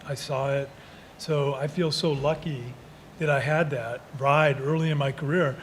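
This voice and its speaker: A man's voice: on the high side, steady tone, unhurried, slight background noise. A man speaking earnestly and steadily as a panelist at a conference. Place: conference hall.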